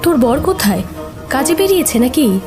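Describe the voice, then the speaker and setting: Woman acting a high-voiced timid young village wife. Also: sing-song, moderate pace, over music. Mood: curious, gentle. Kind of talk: horror audio story acting.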